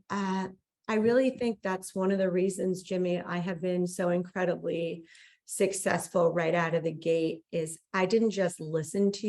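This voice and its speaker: A woman's voice: on the low side, a little mellow. A woman hosting a call, giving an effusive, emphatic motivational introduction. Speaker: call host.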